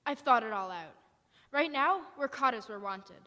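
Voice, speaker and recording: A high, forceful woman's voice rings through a long-echoing hall. She is a wary, defiant militia survivor as a stage actress plays her, blunt and folksy, hammering her points home in old radio drama fashion. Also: expressive, normal speed. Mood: grimly reasoning. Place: church hall stage, live performance. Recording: echoey hall, live performance.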